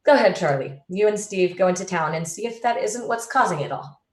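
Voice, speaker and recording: A woman's voice: lowish voice, animated, fairly quick, close to an everyday voice. A woman playing an uneasy suburban neighbor in a radio play, recorded at home. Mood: explaining. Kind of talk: amateur radio play acting. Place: room at home. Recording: roomy, echoing home recording.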